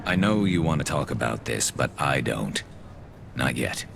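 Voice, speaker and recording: A super low gravelly alien soldier from a video game, loyal but dry and wry, bit battle worn. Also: male voice, expressive, unhurried, background noise, full character voice. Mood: brooding, conflicted.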